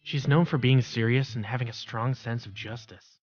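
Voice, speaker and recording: A man's voice: medium pitch, steady tone, moderate pace, highs cut off, lightly acted. A man voicing an introverted, resigned teenage schoolboy in an anime-style dub, mild and hesitant. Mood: reflective. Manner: mild, hesitant, stammers when flustered. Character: introverted teenage schoolboy narrator, secretly capable, meek and resigned. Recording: background music under voice.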